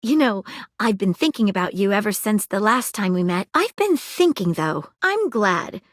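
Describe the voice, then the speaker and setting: A woman's voice: mid-range voice, sing-song, moderate pace, dry recording, studio quality, close to an everyday voice. A woman voicing an earnest, eager magnifying glass detective in a video game, polite and precise, with an awkwardly romantic streak. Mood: smitten, tender. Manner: precise, polite, earnest, slightly formal phrasing. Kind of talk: video game character voice acting.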